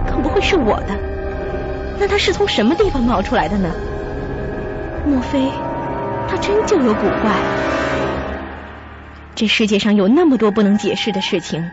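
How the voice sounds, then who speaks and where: Casting: young female first-person narrator, fairly high, pensive radio-drama read over music. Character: pensive, doubting young woman narrating her own story. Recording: muffled lo-fi audio with music.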